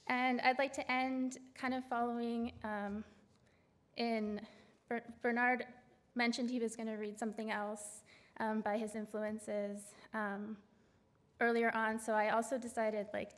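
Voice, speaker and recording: A woman from Canada reading her poems on a stage, halting and full of fillers between poems and measured within them. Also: fairly high pitch. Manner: hesitant, filler-laden asides between measured poem readings. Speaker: poet reading her work. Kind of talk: poetry reading with spoken introductions. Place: large auditorium stage. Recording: long hall reverb.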